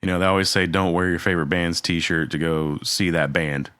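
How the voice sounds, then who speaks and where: Deep-voiced man, laid-back storyteller. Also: fairly quick, clean tone, no echo, studio quality. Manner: relaxed storytelling, many fillers. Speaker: podcast co-host. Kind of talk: casual chat.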